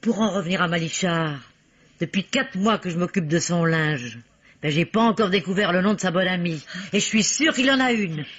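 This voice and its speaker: An actress playing a nosy, grumbling household seamstress in a radio drama, talking in a colloquial, familiar way. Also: female voice, lowish voice, animated, moderate pace, slightly rough. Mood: gossipy. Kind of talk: old French radio drama acting. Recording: dull vintage radio recording.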